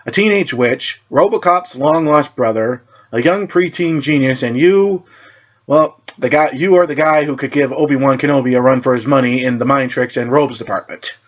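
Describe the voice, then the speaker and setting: A man's voice: medium pitch, normal speed, highs cut off, natural voice. A man voicing a skeptical, needling teenage speedster hero in a superhero story, with a wry manner. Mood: snarky.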